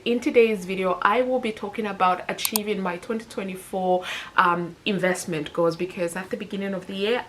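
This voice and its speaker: A woman's voice: mid-range voice, fairly bright tone. Chatty woman in her thirties, self-correcting. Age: 30s. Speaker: personal finance YouTuber. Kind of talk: casual talk to camera.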